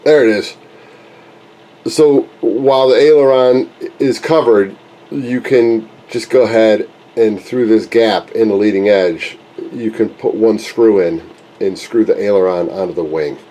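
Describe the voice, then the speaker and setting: Low-voiced man with a stuffy cold. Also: measured pace, mellow, rough recording. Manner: rambling, self-correcting, folksy. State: congested from a cold. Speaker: RC plane hobbyist and channel host. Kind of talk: casual workshop vlog chat. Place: home workshop, indoors. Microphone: handheld camera held close.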